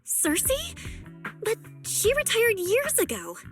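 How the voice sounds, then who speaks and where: A woman's voice: very high-pitched, huge pitch swings, slow, exaggerated voice. A woman voicing a sweet, wry young video store manager in an anime game, playfully dry in delivery. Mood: surprised. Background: faint game music.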